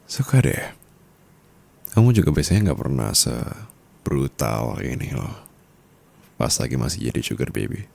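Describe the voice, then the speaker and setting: A man playing a dominant, doting rich husband in an intimate ASMR roleplay, teasing in a breathy murmur with soft chuckles. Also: extremely low pitch, very animated, slow, pauses often, exaggerated voice. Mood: teasing, amused.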